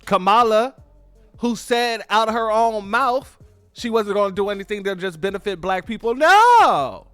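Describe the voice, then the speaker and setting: A high-voiced man hosts his own commentary, pressing his points hard and repeating them in an informal, emphatic way. The sound is dry and close, with faint music underneath. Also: animated, normal speed, a bit bright, dry recording. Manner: emphatic, repetitive, informal, addresses viewers as family. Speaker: commentary channel host. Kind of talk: political commentary on clips.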